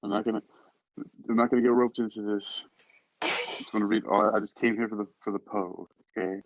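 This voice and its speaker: A man's voice: fairly low pitch, steady tone, brisk, no room sound. A man chatting casually as a podcast host about poetry, questioning things in a playful, mock-exasperated manner. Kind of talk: casual chat discussing and reading poetry. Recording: dull lo-fi sound.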